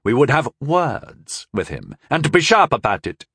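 A man's voice: mid-range voice, wildly swooping pitch, measured pace, fairly bright tone, slightly rough, no echo, studio quality, exaggerated voice. A man voicing a bold young squad leader who gives orders with authority. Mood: commanding.

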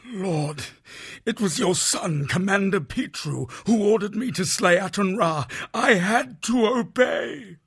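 A man's voice: high voice, takes it slowly, gravelly, a bit bright, slightly rough, voice pushed up, full character voice. A man doing a terrified, pleading wildcat captain. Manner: pleading, trembling. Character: terrified male wildcat captain, bound prisoner.

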